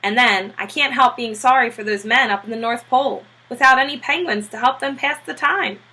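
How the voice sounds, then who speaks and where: A woman voicing an earnest, gentle, modest family man in a storybook, in a polite, deliberate manner. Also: a little high, breathy edge, heavily acted, low-quality recording. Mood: earnest, resigned.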